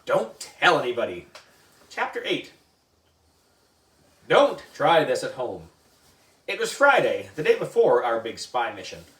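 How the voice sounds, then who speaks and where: A man reading a children's story aloud in an expressive, deliberate way, acting out the dialogue. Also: mid-range voice. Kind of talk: animated read-aloud narration. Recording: roomy echo, distant microphone.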